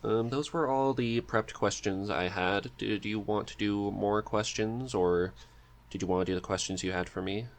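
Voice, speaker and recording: Lowish-voiced man hosting casually. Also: steady tone, normal speed. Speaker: podcast host, interviewer. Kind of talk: casual chat.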